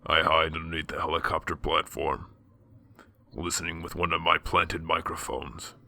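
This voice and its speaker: A man acts a brooding masked vigilante in a radio drama. The voice is low and gravelly, terse and grim, with a stubborn resolve. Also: fairly even intonation, moderate pace, bright, forceful tone, dry recording, heavily acted. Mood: tense narration. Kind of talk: radio drama acting and first-person narration.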